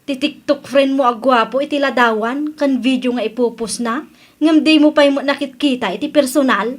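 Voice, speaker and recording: A woman's voice: high-pitched, normal speed. A woman playing a chatty, concerned young co-worker in a comedy radio drama, with a Philippine accent. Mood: teasing.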